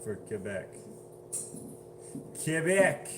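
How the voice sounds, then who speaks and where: A man's voice: a little high, big pitch swings, very slow, music in the background. A man casually chatting on a livestream, reading viewer comments aloud and laughing often. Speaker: livestream host.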